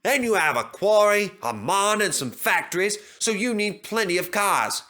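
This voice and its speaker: Courteous, earnest storybook steam engine, acted by a man, cheerful, higher-pitched, lively. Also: normal speed, exaggerated voice. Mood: cheerful, admiring. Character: polite, cheerful visiting male steam engine.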